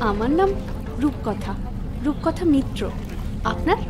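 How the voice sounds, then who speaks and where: A woman voicing a mysterious young lady in a ghost cartoon, with a high, very lively voice. She is polite and reserved in her wording, with music behind her. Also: measured pace. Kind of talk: animated horror story dialogue. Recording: music bed under voice.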